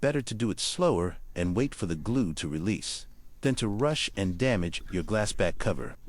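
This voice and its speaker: A man with a fairly low voice narrates a hands-on tutorial in careful, step-by-step fashion. His pitch moves around in a lively way as he gives instructions. Some faint noise and clicking sit behind the voice. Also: moderate pace.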